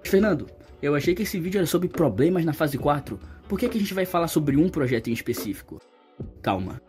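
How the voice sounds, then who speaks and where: Mellow, higher-pitched Brazilian man over background music. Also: animated, a little fast. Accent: Brazilian Portuguese. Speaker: YouTube video essay host. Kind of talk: scripted opinion commentary to viewers.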